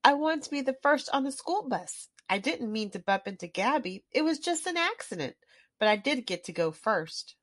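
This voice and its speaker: A woman voicing an eager, impatient young critter boy in a children's storybook, with an excitable manner. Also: high voice, moderate pace, dry recording, clean studio sound, exaggerated voice. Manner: excitable, animated, childlike phrasing. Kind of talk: children's storybook read-aloud.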